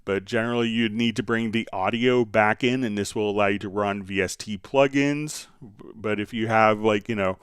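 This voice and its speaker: Male livestream software instructor, lowish resonant voice, informal halting explanations, dry close sound. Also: fairly even intonation, smooth, no room sound. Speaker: host answering viewer questions on a software livestream. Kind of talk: informal tutorial, explaining step by step.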